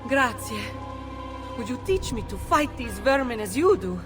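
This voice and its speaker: A woman's voice: fairly high pitch, big pitch swings, normal speed, over music. A woman playing an agitated, eager Roman townswoman in a video game. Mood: relieved, eager. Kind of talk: dramatic game dialogue.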